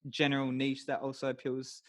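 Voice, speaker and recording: A man's voice: mid-range voice, monotone, a little fast, dry recording, clean studio sound. A man co-hosting a podcast about films in a casual, conversational way, often dropping "you know" into his sentences. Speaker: podcast co-host discussing films. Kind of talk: casual chat.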